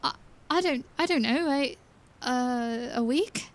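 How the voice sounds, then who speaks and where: A woman acting in an audio drama as an earnest young princess in training, speaking with hesitant wonder and deliberate phrasing. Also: high voice, no echo. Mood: startled, unsure. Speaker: voice actor.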